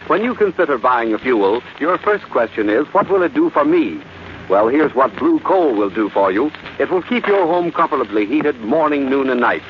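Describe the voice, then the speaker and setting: A man's voice: medium pitch, sing-song, normal speed. A man announcing in a formal, polished and emphatic 1940s radio style. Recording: muffled vintage radio broadcast, faint noise.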